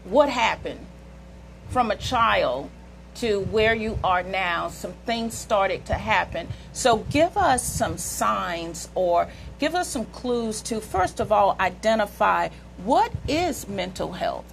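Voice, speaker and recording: A woman's voice: mid-range voice, sing-song, slow pace, gravelly, slight background noise, rough recording. A woman hosting a talk show, asking earnest questions and telling a story of her own as she leads up to them. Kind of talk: interview talk.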